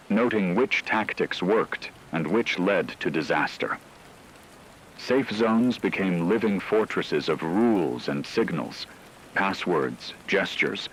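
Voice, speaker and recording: A man delivering scripted dramatic narration in a grave, measured storytelling manner. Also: low-pitched, a bit bright, slight background noise, low-quality recording.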